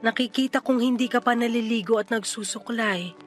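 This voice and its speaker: A woman playing a worried, caring young friend in a Filipino radio drama, earnest and gently insistent, with a Filipino accent. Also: fairly high pitch, fairly quick, natural voice. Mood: worried, probing. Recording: music behind the voice.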